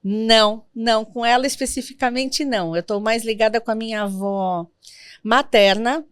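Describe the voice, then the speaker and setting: A Brazilian woman in her fifties, a guest in an interview, telling family stories in a reflective, gentle way. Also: on the high side, steady tone, normal speed, clear, resonant tone, no echo. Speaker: guest, sworn translator. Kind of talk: interview conversation.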